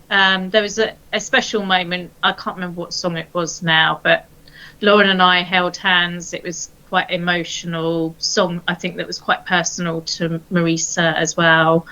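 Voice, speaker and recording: A woman co-host chatting casually and telling an anecdote in a hesitant, halting way. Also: on the low side, pushed and bright, highs cut off. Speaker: show co-host.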